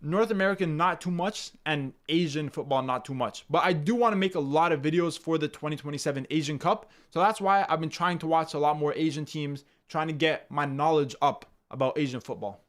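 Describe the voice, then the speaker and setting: A young man from Canada chatting through a live football stream. His voice sits a little high, and he talks in a loose, slangy way, circling back over the same phrases and tossing in "bro" constantly. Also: brisk, hardly any pauses. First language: English. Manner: loose slangy talk, says "bro" a lot, repeats himself; bursts loud and high when goals go in. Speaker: watchalong streamer. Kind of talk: casual live commentary, reading chat.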